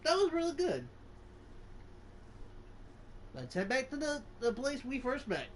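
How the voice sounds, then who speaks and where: A gaming channel host giving casual gameplay commentary, reacting aloud in halting phrases. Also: high voice, wildly swooping pitch, slight background noise. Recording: game music under the voice.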